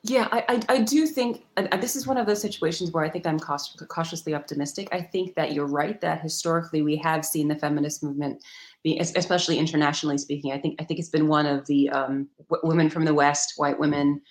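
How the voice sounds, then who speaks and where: A woman scholar with a low voice explains her ideas at a brisk pace. She hedges often and restarts sentences as she goes. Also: steady tone. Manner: rapid, hedging, many restarts. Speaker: guest author. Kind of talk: academic explanation in an interview.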